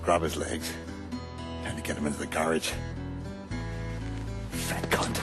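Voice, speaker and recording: An actor playing a reckless, sardonic Irish gangster with a blunt, menacing manner in a game. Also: male voice, extremely low pitch, level tone, unhurried, loud music behind the voice. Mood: spiteful. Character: reckless Irish gangster, sardonic, vengeful hard drinker type. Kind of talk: game cutscene acting.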